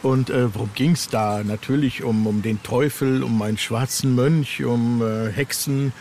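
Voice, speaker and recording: A man's voice: medium pitch, fairly even intonation, unhurried, a little mellow. A man from Ostwestfalen in Germany chatting casually as an interviewed author, easygoing and a little hesitant. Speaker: horror novelist being interviewed. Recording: noticeable ambient noise.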